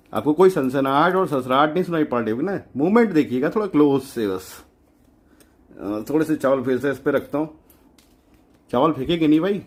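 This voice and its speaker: A man giving an informal electronics demonstration as a DIY host, chatty and colloquial, mixing English terms into his speech. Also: mid-range voice.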